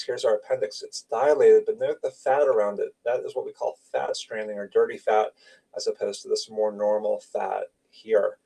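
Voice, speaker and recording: A man's voice: on the low side, creaky, darkish tone, far from the mic. A man giving an informal medical lecture, explaining slides in clipped, conversational phrases. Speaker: radiology lecturer.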